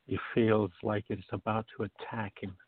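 A man's voice: lowish voice, little pitch movement, normal speed, very noisy. A man reading scripted narration for a radio play, on muffled, narrow-band audio.

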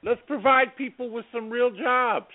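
A man's voice: very high-pitched, steady tone, measured pace. A man talking politics on a casual talk radio show, earnest and exasperated, rambling through fillers as he goes. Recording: tinny phone-like radio audio.

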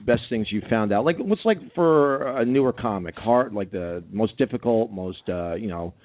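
A man's voice: on the low side, animated, moderate pace. A male radio show host interviewing a guest casually, rambling and stumbling over his questions. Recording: tinny phone-like audio.

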